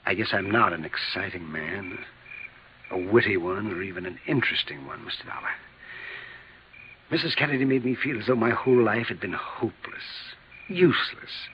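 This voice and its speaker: A defeated, self-pitying man, acted by a male radio player talks haltingly in a fairly low voice. The old radio drama recording is muffled, with clear noise. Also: animated, close to an everyday voice. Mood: dejected. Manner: halting, dejected, confessional. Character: beaten, lonely widowed insurance agent on the run, self-pitying. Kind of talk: 1950s radio acting.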